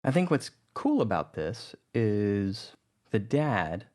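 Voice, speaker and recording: A man narrating a tutorial on music editing in a relaxed, explanatory way. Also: fairly low pitch, takes it slowly. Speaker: audio drama producer teaching music editing. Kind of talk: tutorial voice-over.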